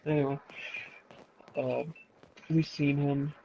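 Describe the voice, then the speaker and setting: A man's voice: on the high side, flat delivery, slow, gravelly, warm tone, dull audio, slight background noise. A man of about twenty telling personal stories in a casual, rambling, sweary way while gaming. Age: around 20. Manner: sweary, digressive. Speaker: gaming streamer.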